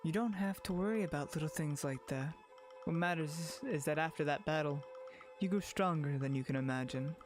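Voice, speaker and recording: A sinister tempter, played by a man from a visual novel dub, with a somewhat high-pitched voice. He coaxes and taunts, insinuating with leading questions and dangling power. Music runs underneath. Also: brisk, close to an everyday voice. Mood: seductive, exultant.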